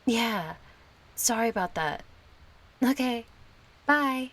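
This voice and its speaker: A woman's voice: a little high, lively intonation, takes it slowly, a bit bright, full character voice. A woman acting a kind, chatty young friend in an intimate audio roleplay, casual, playful and a little hesitant. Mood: casual, apologetic. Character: kind, chatty young female friend, gently yearning for closeness.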